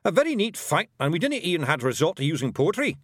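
A tiny, rough Scottish fairy chieftain, pitched high and swooping with emphasis. He is cocky, cheerful and always ready for a brawl, in lively storybook style. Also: fast talker, no room sound, clean studio sound, exaggerated voice. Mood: proud, cheerful. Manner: loud, emphatic dialect speech. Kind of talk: audiobook character voice.

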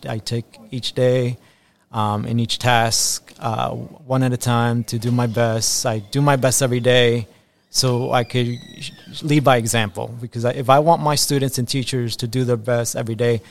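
A man from Ecuador, an assistant principal in his thirties, answering school podcast questions earnestly with frequent ums and repeated phrases. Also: medium pitch.

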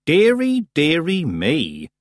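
A man voicing a stern, commanding old female country witch who speaks calmly and deliberately in a storybook reading. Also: medium pitch, breathy edge, no room sound, studio quality. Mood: icy, guarded. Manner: calm, deliberate, rustic grammar.

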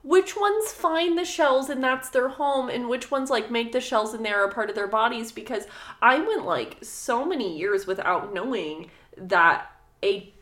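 A woman's voice: on the high side, measured pace. A woman co-hosting a podcast, reacting to a story with casual, giggly, joking banter. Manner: animated, giggly, jokey.

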